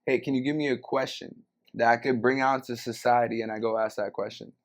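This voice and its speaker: A man's voice: medium pitch, fairly even intonation, a little fast. A young man from Venezuela hosting a podcast, talking in a laid-back, slangy way and thinking aloud with fillers. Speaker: podcast host. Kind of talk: casual chat.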